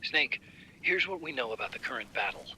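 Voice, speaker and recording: A very bright, sharp male voice at medium pitch for a game's mission-support partner. He briefs earnestly and informatively, with faint noise behind him. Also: expressive, brisk, low-quality recording. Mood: serious, focused. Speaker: video game character. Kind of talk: dramatic video game briefing.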